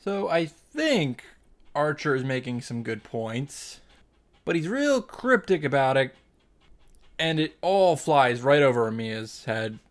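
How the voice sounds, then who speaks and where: A man's voice: mid-range voice, very animated, slow. A man hosting a reaction series, giving an informal, wry recap with running commentary. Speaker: host of visual novel reaction series. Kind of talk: casual recap narration with commentary. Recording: music behind the voice.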